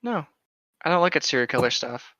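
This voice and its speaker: Male co-host, bright forceful voice, mock-dramatic story reading with casual banter, slightly muffled lo-fi mic. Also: on the high side, big pitch swings, brisk, dry recording. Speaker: podcast co-host.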